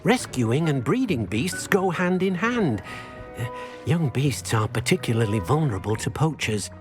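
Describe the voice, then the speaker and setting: A man's voice: mid-range voice, big pitch swings, normal speed, music in the background, natural voice. An actor voicing an elderly, courteous male house-elf devoted to creatures in a video game, speaking earnestly and a little hesitantly. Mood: earnest, helpful. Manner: refers to himself in third person, hesitant. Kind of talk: video game character dialogue.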